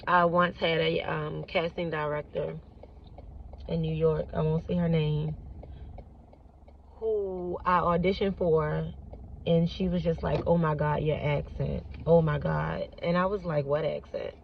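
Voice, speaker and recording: A woman with a Baltimore accent speaks slowly and deliberately, circling back to repeat her points. The sound is muffled phone audio picked up a little off-mic, with steady road noise rumbling under her voice. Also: gravelly, far from the mic. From Baltimore, United States. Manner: earnest, repeats phrases for emphasis. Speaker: vlogger. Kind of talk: reflective casual monologue. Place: in a moving car on city streets.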